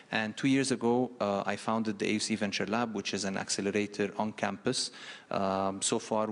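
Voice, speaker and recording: Middle-aged man from Egypt, panel speaker, low and gravelly, methodical with fillers, reverberant hall. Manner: plain, orderly explaining with frequent fillers. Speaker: panelist, university lecturer. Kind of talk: panel talk. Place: large conference hall.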